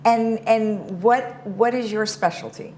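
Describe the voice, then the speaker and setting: A woman's voice: fairly high pitch, takes it slowly, darkish tone. A woman hosting a community TV interview, asking questions in an upbeat, conversational way. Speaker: host. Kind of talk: casual interview talk.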